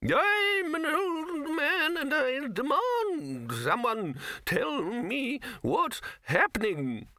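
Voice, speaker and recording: An ancient doddering wizard in a storybook comedy, pitched far up high. He drags his words out very slowly, quavering and querulous, hesitating and repeating himself. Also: sing-song, sharp tone, exaggerated voice. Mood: querulous, alarmed.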